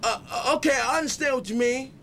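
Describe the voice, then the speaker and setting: A man's voice: quick pace, sharp tone. An American man hosting a comic live call-in show, with an exaggerated, playful jive delivery. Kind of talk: comic live phone-in banter. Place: small studio with acoustic foam walls. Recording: low-fi tape, some rumbly noise.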